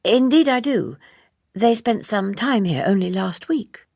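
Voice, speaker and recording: Woman acting a guarded young woman, slightly husky. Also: medium pitch, lively intonation, unhurried, a little mellow, no echo, studio quality, voice pushed up, exaggerated voice. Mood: guarded, puzzled. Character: composed, guarded young woman, politely challenging. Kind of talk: audiobook character dialogue.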